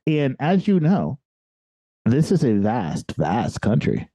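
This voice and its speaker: A man's voice: medium pitch, huge pitch swings, takes it slowly, mellow, husky, no echo. An American man hosting a podcast interview, upbeat and friendly with his questions. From Dayton, Ohio. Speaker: podcast host.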